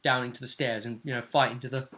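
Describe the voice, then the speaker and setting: A man with a crisp, very bright voice at an average pitch talks through a tabletop game. He sounds like a game master in informal conversation, nudging his listener to agree as he goes. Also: fairly even intonation, fast talker. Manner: informal, seeks agreement with tag questions. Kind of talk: casual chat recapping game play.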